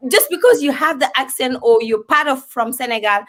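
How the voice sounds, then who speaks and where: Bright high-voiced woman, passionate. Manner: passionate, personal, speaks up quickly. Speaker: co-host. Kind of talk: panel discussion, mixing English and a local language.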